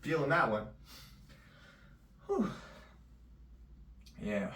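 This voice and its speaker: A man chatting casually in a loose, rambling vlog, his voice a little raspy. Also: medium pitch, wildly swooping pitch, drawn-out words, a bit bright. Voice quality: slightly raspy. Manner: loose and rambling, full of fillers. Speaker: vlogger. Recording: echoey room, distant mic.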